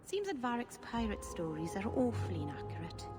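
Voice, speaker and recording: A woman's voice: mid-range voice, sing-song, fairly quick, music in the background, lightly acted. A woman playing a sweet, naive young woman in a video game, eagerly curious and innocent in her manner. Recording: hall reverb, clear ambient noise.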